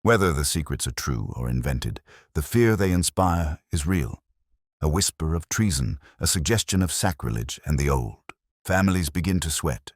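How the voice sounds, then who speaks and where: Guy doing a calculating storybook villainess, composed and soft, very low pitch, expressive swings. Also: moderate pace, no room sound, studio quality. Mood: calculating, defiant. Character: poised, calculating, soft-spoken woman schemer. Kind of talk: storybook character reading.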